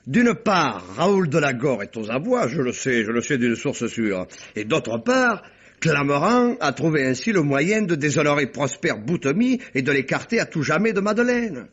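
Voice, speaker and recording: Old muffled radio play, a jovial wordy detective posing as provence gentleman, very animated, sly and theatrical. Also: on the high side, moderate pace, husky, heavily acted. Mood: assured. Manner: voluble, theatrical, digressive, deferential. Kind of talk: old French radio mystery drama acting. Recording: muffled vintage radio recording.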